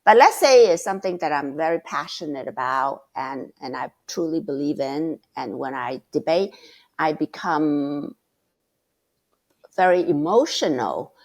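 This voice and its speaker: A middle-aged woman guest with a loud voice, speaking passionately and emphatically, often restarting her sentences. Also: low-pitched, lively intonation, a little slow. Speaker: guest mentor. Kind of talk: conversational interview.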